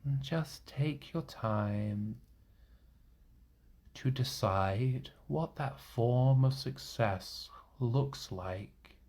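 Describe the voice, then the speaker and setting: A man leading a guided meditation in a gentle, reassuring manner, leaving long pauses between short phrases. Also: medium pitch. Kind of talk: guided meditation, soothing instruction.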